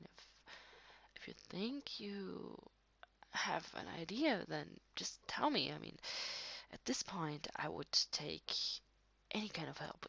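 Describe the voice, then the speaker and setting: A woman improvising an intimate one-sided roleplay as a tired, affectionate writer talking to a partner late at night, halting and breathy, often dropping to a near whisper. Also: low voice, no room sound, low-quality recording. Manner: hesitant, halting, with frequent sighs and soft laughs. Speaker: audio roleplay performer.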